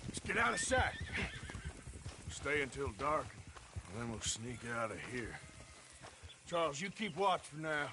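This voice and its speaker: Dry, drawling American gunslinger. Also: male voice, a little high, sing-song, a little slow, long pauses, background noise. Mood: commanding. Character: wry, level-headed outlaw gunslinger man. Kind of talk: western video game acting. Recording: loud music behind. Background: music, horses.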